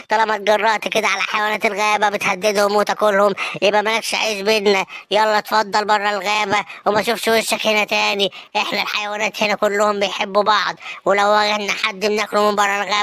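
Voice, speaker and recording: A woman's voice: mid-range voice, completely flat delivery, racing, bright, forceful tone, no echo. Woman playing a stern lion king. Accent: Egyptian Arabic. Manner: firm, lecturing. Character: wise lion king of the forest, stern but fair.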